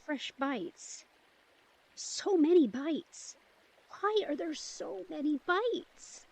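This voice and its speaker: A woman playing an empathic, caring young vampire in an intimate audio drama, breathy, probing and gently teasing. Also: sits high, lively intonation, very slow, heavily acted, rough recording. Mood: concerned, shaken.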